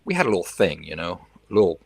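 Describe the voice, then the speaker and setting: A man's voice: sits low, very animated, fast, vocal fry, breathy edge, no echo. A man hosting a livestream, chatting casually with viewers and reacting to their comments, sometimes switching into learner's Spanish. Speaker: livestream host.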